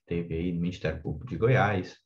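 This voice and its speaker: A man's voice: low-pitched, fairly quick. Brazilian man coaching, slightly muffled audio. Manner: conversational, frequent tag questions seeking agreement. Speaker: exam coach and teacher. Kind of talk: informal explanatory lecture.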